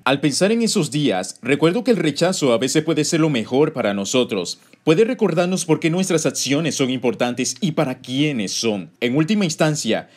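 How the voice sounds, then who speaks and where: A man delivering scripted explainer narration as a video narrator, speaking in a deliberate, instructive way. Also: mid-range voice. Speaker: video narrator and game theorist.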